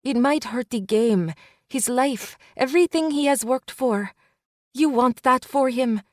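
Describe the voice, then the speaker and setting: An insistent, protective young woman from an audiobook drama, as the woman reader puts her on. She talks in a raised, pressing voice that keeps pushing hard truths. Close, dry recording with a measured pace. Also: high voice, dry recording, voice pushed up. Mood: urgent. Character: blunt young woman friend, urgent and protective.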